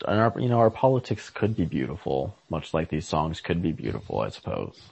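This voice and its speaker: A man in a casual literary podcast discussion, co-hosting and often halting as he searches for words. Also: rumbling low, huge pitch swings, creaky, darkish tone, breathy edge, dry recording. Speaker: podcast co-host. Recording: lo-fi podcast audio.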